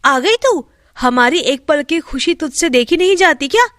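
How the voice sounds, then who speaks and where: A woman voicing a haughty, spiteful young bride with a sharp, contemptuous manner in a storybook tale. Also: high-pitched, expressive, moderate pace, no echo, studio quality. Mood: angry, contemptuous.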